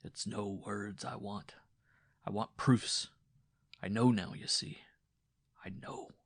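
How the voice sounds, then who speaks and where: A man's voice: on the low side, steady tone, takes it slowly, no room sound, clean studio sound. A man acting a raging jealous peasant husband. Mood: accusing. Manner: shouting one moment, hissing in a hoarse whisper the next. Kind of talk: audiobook dialogue reading.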